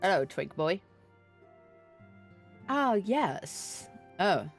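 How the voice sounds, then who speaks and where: A woman's voice: lowish voice, big pitch swings, very slow. A woman livestreaming a game, chatting irreverently with teasing and swearing. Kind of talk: livestream chat and reading game dialogue aloud. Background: game music.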